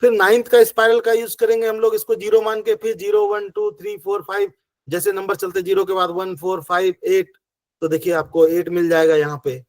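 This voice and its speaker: Man teaching with a sore throat, high voice. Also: fairly quick, dry recording, poor audio. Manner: patient, counts numbers step by step. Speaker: acupressure teacher. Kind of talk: online class explanation. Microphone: video call.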